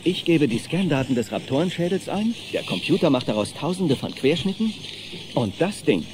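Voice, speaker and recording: A man's voice: fairly high pitch, big pitch swings, normal speed, noisy background. An actor playing an enthusiastic, cheerful young male paleontology assistant in film-style dialogue. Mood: keen. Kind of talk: German-dubbed film dialogue.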